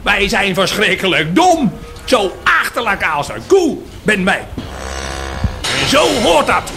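An angry cow, very high voice, wildly swooping pitch, rousing speechmaker, radio play, music behind. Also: male voice, big pitch swings, takes it slowly, creaky, pushed and bright, heavily acted. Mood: angry, defiant. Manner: declamatory, rousing, rhetorical questions and repeated refrains. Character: furious cow rallying the herd against city builders. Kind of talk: theatrical radio play monologue.

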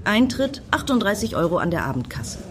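A woman's voice: medium pitch, big pitch swings, a little fast, close to an everyday voice. An actress playing an impatient, self-assured, defiant woman in a satirical radio play, brusque and assertive. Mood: declaiming, brusque.

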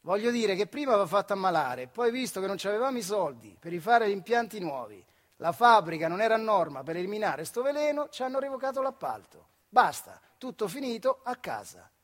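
Male actor as retired factory hand, older, Marche accent, stage monologue, husky, high-pitched, bitter, colloquial, rhetorical. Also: sing-song, brisk. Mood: bitter outrage. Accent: central Italian, Marche. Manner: colloquial, rhetorical questions, swings from muttering to outbursts. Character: bitter retired Italian factory worker, weary, sardonic, impassioned. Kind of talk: theatrical monologue in dialect-tinged speech.

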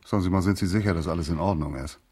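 An actor playing a cheeky, down-to-earth police sidekick in a German radio crime comedy, blunt and jaunty. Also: male voice, deep voice, rapid-fire, a little mellow, a little hiss or hum, lightly acted. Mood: cheerful, teasing.

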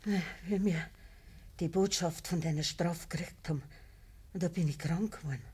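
An actress playing an ailing old peasant mother in a radio play, with a breathy, frail voice, a Bavarian accent and a halting, pleading delivery. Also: fairly low pitch, a little mellow. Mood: weak, worried. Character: gravely ill old peasant mother, pleading, gentle, pious. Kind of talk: naturalistic dialect radio drama acting.

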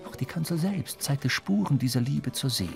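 A man playing a reflective seafaring storyteller, narrating a radio play in a measured, literary way. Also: medium pitch, animated, gravelly, faint music behind.

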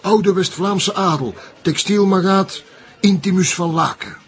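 A man playing a cautious old retired journalist in a TV crime drama, with a Flemish accent and a measured, wry way of talking. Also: high-pitched, soft background music. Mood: discreet, gossiping. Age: older.

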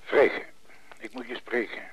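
Casting: male actor as agitated, troubled business partner, blunt and halting, naturalistic radio drama, light hiss and clicks. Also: mid-range voice, fairly even intonation, natural voice, rough recording. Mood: urgent. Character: Johan, desperate, bullheaded business partner and troubled husband. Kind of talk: naturalistic Dutch radio play acting.

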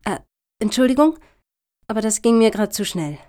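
An actress playing a well-meaning, slightly slow woman panelist in a satirical radio play. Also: on the high side, expressive, mellow, lightly acted. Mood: puzzled.

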